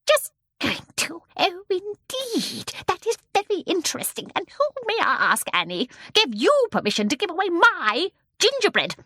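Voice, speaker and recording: A woman voicing a tiny, very old, domineering storybook woman with a high, shrill, squeaky voice. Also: big pitch swings, normal speed, pushed and bright, dry recording, studio quality, heavily acted. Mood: fierce, scornful. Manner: chattering, domineering, exclamatory. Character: tiny ancient shrill woman, fierce then sweet, gleefully eccentric.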